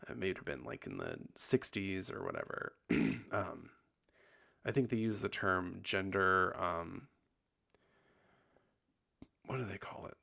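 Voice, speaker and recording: A man hosting a podcast in casual confessional chat, sounding husky and tired after bronchitis, rambling with lots of likes and ums. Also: low-pitched, bright, forceful tone. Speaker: podcast host.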